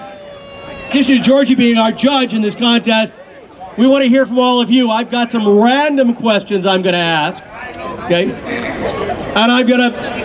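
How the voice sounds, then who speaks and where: A man hosting a pinup contest on stage, joking and teasing the contestants as he goes down the line. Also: sits high. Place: outdoor car show. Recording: noisy outdoor crowd over PA.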